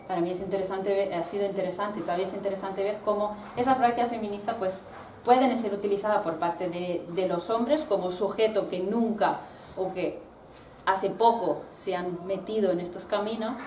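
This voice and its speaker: A woman from Italy, a researcher giving a talk with slides, speaking thoughtfully and pausing often to search for words. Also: mid-range voice, darkish tone. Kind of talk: discussion talk presenting slides. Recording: roomy, distant mic, background noise.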